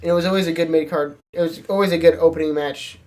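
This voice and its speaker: A podcast panelist with a soft-edged, mellow voice of medium pitch. The talk is casual and informal, as among fans. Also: steady tone, fast talker, poor audio.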